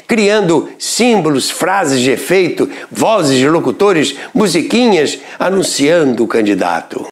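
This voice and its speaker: Man narrating with a lively, varied pitch. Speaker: radio history narrator. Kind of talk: scripted documentary narration.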